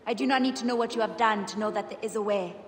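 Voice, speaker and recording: An actress playing a calm, reassuring priestess in a video game. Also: female voice, medium pitch, very fast, natural voice. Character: calm protective adult woman priestess. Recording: echoey hall with music.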